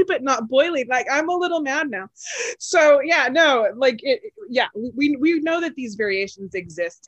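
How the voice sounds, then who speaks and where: A woman who calls her own voice low for a woman, talking in an animated, chatty way with plenty of fillers and laughs. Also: no echo, highs cut off. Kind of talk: casual podcast chat.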